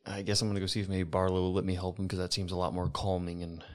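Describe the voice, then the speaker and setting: A man's voice: low voice, level tone, very fast. A man playing a tabletop game, chatting casually and speaking in character. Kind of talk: casual in-character roleplay and chat.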